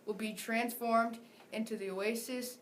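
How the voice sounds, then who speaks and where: Teen female student reader, somewhat high voice, light background noise. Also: fairly even intonation, measured pace. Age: teenager. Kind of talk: reading a famous speech aloud.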